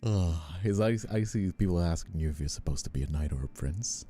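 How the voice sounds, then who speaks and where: Very deep, dark, gravelly man. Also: expressive, brisk. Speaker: host, streamer and voice actor. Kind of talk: casual live stream chat. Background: faint background music.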